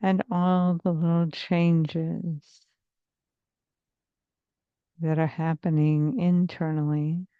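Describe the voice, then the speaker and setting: A woman therapist leading a guided meditation in a soothing, measured, hypnotic manner with long pauses, on slightly muffled audio. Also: sits low, flat delivery, clean tone, no room sound. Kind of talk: slow guided meditation narration.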